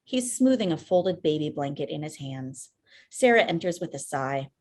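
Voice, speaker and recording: A woman's voice: sits low, expressive, moderate pace. A woman reading scripted screenplay stage directions as narrator on a video call. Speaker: filmmaker, stage-direction reader.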